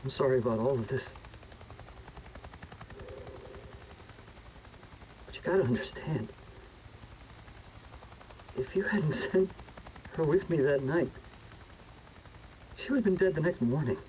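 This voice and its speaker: A man playing a nervy government man in a game drama, with a fairly high voice. He is defensive and halting, pleading as he delivers grim news. Clear background noise surrounds him. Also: expressive, a little mellow, natural voice, rough recording. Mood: apologetic. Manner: halting, pleading, self-justifying. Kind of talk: dramatic video game acting.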